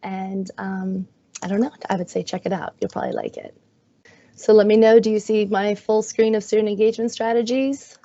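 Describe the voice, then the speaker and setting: Raspy hoarse woman presenting on a call. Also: medium pitch, fairly quick, warm tone, dry recording. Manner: friendly, self-deprecating, laughs often. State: hoarse from being sick. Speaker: guest presenter, magnet schools coordinator. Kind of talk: webinar presentation. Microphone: video call. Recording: dull, dry video-call audio.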